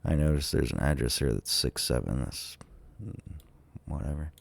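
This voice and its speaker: A sick man with a cold thinks aloud in a casual, hesitant, musing way, with many ums and trailing pauses, as he narrates a vlog. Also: rumbling low, expressive, gravelly, dry recording. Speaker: vlogger touring tornado damage on a map.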